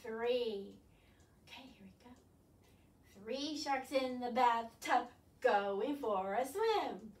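An older woman leading a children's storytime as a librarian, animated and instructing, talking directly to young viewers with questions. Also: on the high side, slow, long pauses, background noise. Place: small living room, indoors. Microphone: far camera. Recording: roomy, distant camera microphone.

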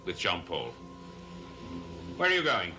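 A man's voice: low-pitched, wildly swooping pitch, unhurried, pushed and bright, soft background music, exaggerated voice. A middle-aged man playing a suspicious, intense accuser in a gothic soap opera, delivering his lines dramatically. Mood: demanding, doubtful. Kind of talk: 1960s gothic TV soap acting. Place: TV studio library set.

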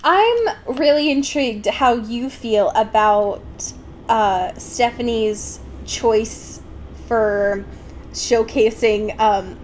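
A woman co-hosting a podcast, chatting casually about a book in an expressive, slangy way with exasperated humour. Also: on the high side, drawn-out words, a little hiss or hum, low-quality recording. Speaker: podcast co-host. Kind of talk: casual book discussion chat.

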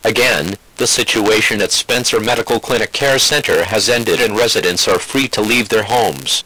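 A man's synthetic voice delivers a scripted emergency bulletin. It sits slightly low, with a bright, forceful tone. The delivery is formal and impersonal, like an automated alert announcer. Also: normal speed, slightly rough, dry recording, poor audio.

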